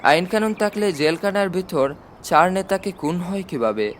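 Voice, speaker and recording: A polite, inquisitive young niece read by a man in a storybook. The pitch is high for a man and the manner earnest and caring, over faint background noise. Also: expressive, moderate pace, fairly bright tone. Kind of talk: storybook reading.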